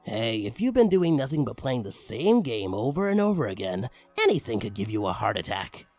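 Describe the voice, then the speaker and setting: A man's voice: medium pitch, sing-song, brisk, a little mellow, no room sound, faint music behind. A man giving a comedic, mock-dramatic creepypasta narration with crude, swooping emphasis. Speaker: narrator, online story reader.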